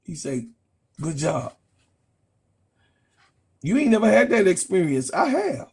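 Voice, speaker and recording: Middle-aged man, sports host, argumentative and profane, husky voice pitched high. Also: sing-song, slow, darkish tone. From Chicago, USA. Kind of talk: heated casual sports rant.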